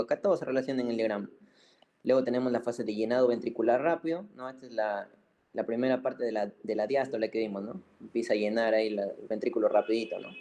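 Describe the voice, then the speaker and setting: A man's voice: medium pitch, mellow. A man giving an informal medical lecture, colloquial and didactic, repeating key points and often checking in with short tags. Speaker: medical physiology teacher.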